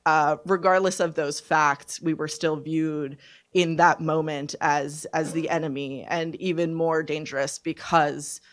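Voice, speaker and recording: A woman in her twenties giving personal testimony at a panel discussion, speaking in a halting, deliberate, reflective way. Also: deep voice, level tone. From born in Serbia, raised from infancy in Canada. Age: 20s. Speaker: panelist, refugee survivor. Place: conference event, speaking on a panel.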